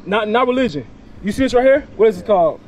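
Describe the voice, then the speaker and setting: A man's voice: sits high, lively intonation, fast, darkish tone, muffled recording. Emphatic young man preaching outdoors. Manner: emphatic, questioning, repeats words. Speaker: street preacher. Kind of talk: outdoor street preaching with questions. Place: outdoors by a parking lot. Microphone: handheld mic.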